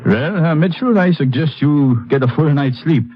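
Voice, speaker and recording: Man playing a high-pitched mountain guide. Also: lively intonation, normal speed, natural voice. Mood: businesslike. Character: brisk, practical male Alpine mountain guide. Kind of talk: old radio drama acting. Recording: muffled, noisy vintage radio recording.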